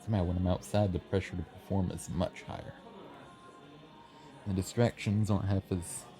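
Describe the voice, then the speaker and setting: A man's voice: low voice, fairly even intonation, moderate pace, dark tone, soft background music. A man playing a shy, friendly young writer in an ASMR roleplay, chatting bashfully and earnestly, a little hesitant. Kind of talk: ASMR roleplay, soft conversational acting. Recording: busy café crowd murmur behind. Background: café crowd chatter.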